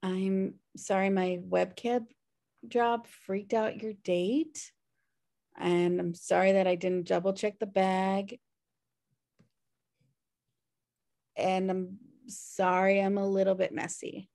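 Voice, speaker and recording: A woman's voice: mid-range voice, little pitch movement, slow, vocal fry, darkish tone. A woman playing a messy, guilt-ridden, wry sister in a sitcom table read, rambling hesitantly. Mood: apologetic, wry. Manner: rambling, hesitant, self-deprecating. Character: messy, guilt-ridden, wry younger-at-heart woman pleading for her brother. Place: home room on a video call.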